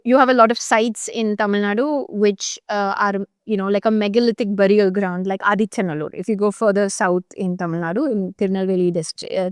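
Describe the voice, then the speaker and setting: A woman's voice: mid-range voice, clean tone, no room sound. Thoughtful woman explaining, dry sound. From Chennai, India. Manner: thoughtful, explanatory, frequent fillers and restarts. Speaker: journalist guest. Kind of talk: conversational interview.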